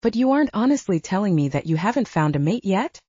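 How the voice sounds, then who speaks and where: A woman's voice: medium pitch, sing-song, quick pace, warm tone, slightly rough, no room sound. A woman narrator plays a twenty-year-old young mother in an audiobook, gushing and teasing her cousin. Her delivery swings up and down with effusive curiosity. The sound is dry and a little muffled. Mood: nosy. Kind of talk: audiobook character dialogue.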